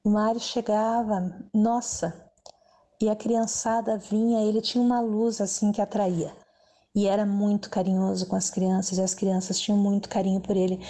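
A middle-aged woman speaks in a warm, reminiscing way, her tone dark and mellow. She often adds little "né" tags as she recalls things. It sounds like a recorded interview clip. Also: mid-range voice, flat delivery, moderate pace. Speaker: former stage assistant and singer.

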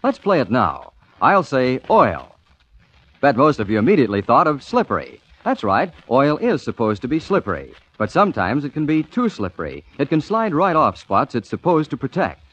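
Animated vintage male radio announcer. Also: medium pitch, normal speed, breathy edge. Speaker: radio announcer, sponsor pitchman. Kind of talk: 1940s radio commercial announcing. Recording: muffled 1940s radio recording.

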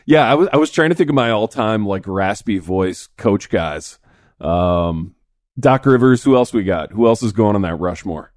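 A man hosting a sports podcast in a studio, his voice raspy this morning, chatting in a laid-back, chummy way. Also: lowish voice, expressive, moderate pace, a little mellow, no echo. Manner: laid-back, chummy, says dude a lot. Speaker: podcast host. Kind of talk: casual sports chat.

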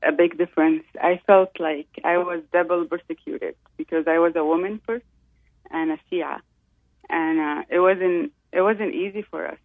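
A woman from Saudi Arabia tells her story as a guest, calling in over a tinny phone line. Her voice has a gravelly fry, and her low-key delivery sits close and dry on the line. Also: no echo. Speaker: call-in guest. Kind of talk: personal testimony.

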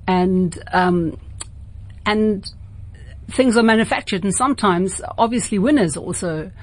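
South African woman hosting, medium-pitched, halting. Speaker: radio host. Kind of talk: casual late-night interview.

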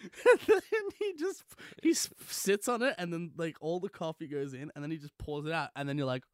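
A man chats casually as a podcast co-host. His voice sits a little high and moves around a lot in pitch. He riffs in a jokey way, and the sound is dry and close. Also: expressive, brisk, dry recording. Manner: jokey, riffing on absurd scenarios. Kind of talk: casual comedic chat.

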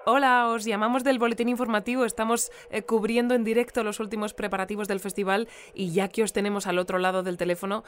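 Over clearly audible background noise, an actress plays a female radio newscaster with a Spain accent. She is polite and chatty, interviewing in the mid-pitched voice of an audio-drama bulletin host. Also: steady tone, quick pace, hardly any pauses, natural voice. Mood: friendly, inquisitive. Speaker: radio news presenter.

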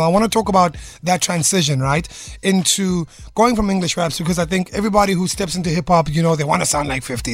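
A man from South Africa hosting a radio show in the studio, hyped and quick to affirm his guest. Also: high voice, dry recording. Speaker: radio host.

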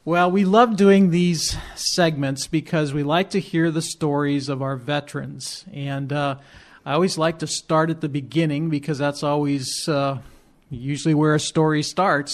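A male podcast host leads the interview in an easygoing way. His voice sits a little high for a man. He asks open questions in a relaxed, friendly flow. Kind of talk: conversational interview.

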